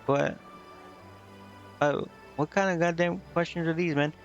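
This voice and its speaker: A man giving casual, informal let's-play commentary as a game streamer, talking in a halting way. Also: a little high, steady tone. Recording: dull lo-fi mic, music behind. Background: game music.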